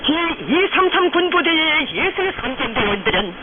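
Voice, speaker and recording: A woman's voice: sits high, sing-song, moderate pace. A woman with a North Korean accent reads solemn state radio news in a declamatory, fervent, reverent manner. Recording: noisy shortwave radio, narrow phone-like band.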